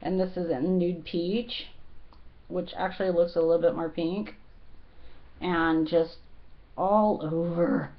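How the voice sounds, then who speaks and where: A woman's voice: on the low side, fairly even intonation. Rambling woman, dull lo-fi recording. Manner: rambling, filler-heavy, halting. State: slightly hoarse. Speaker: beauty vlogger. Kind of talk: casual makeup tutorial.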